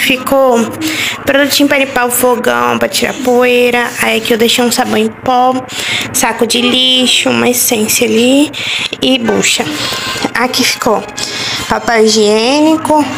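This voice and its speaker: A woman's voice: high-pitched, vocal fry, noisy background. A young woman casually chatting to viewers while tidying her home kitchen, pointing things out one by one on a handheld phone. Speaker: home vlogger. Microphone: handheld phone camera.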